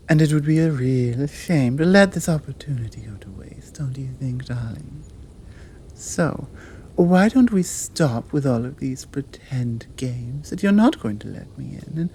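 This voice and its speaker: Woman acting a slow, seductive British monster woman. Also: low voice, dark tone, full character voice. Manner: slow, coaxing, commanding, often dropping to breathy murmurs. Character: tall, dominant monster woman, seductive, predatory and teasing. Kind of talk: ASMR roleplay audio drama.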